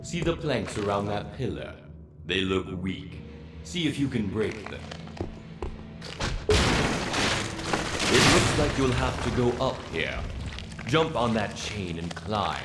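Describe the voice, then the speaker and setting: A man playing an older, watchful wizard mentor in a fantasy game, giving measured, authoritative instructions. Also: fairly low pitch, sing-song, reverberant, very noisy. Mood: calm, instructive. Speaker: mentor guiding the player. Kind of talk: scripted fantasy game dialogue. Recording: loud game music over the voice.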